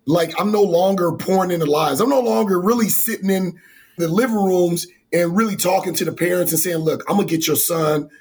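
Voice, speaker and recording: Hoarse male college coach in his forties, husky and slightly high voice, earnest and rambling. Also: fairly quick. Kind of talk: interview answers.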